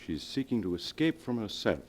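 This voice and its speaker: An actor playing a solemn, measured family doctor in a 1940s radio drama, on a vintage recording. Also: male voice, on the low side, big pitch swings. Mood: solemn, confiding. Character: grave older male family doctor, gently explaining.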